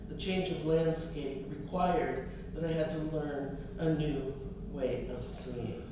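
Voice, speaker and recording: A middle-aged American woman from the East Coast, a poet reading her own literary work in a measured, expressive way with deliberate phrasing. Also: sits low. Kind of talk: literary reading of poetry and personal essay. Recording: distant mic, echoey room, clear noise.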